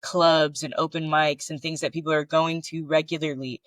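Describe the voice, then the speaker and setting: Higher-voiced man, loose chatty podcast talk. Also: little pitch movement, moderate pace, fairly bright tone, no room sound. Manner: loose and searching, heavy on filler words. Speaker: podcast co-host. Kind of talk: casual conversational chat.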